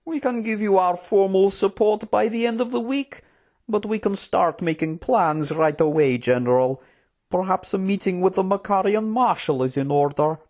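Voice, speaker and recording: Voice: medium pitch, moderate pace, darkish tone, voice pushed up, lightly acted. A narrator voicing a scheming fantasy courtier in an audiobook, unctuous, formal and wordy, with cutting politeness. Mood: smoothly assured. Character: scheming, smooth-tongued Trook courtier, obsequious and cutting.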